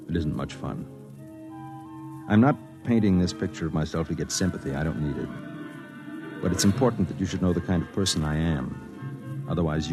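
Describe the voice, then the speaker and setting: An American actor playing a lonely, sensitive reporter in his thirties, narrating an old radio drama in a brooding, confessional way. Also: extremely low pitch. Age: 30s. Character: lonely, sensitive thirty-one-year-old newspaper reporter and secret poet. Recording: vintage radio broadcast with music.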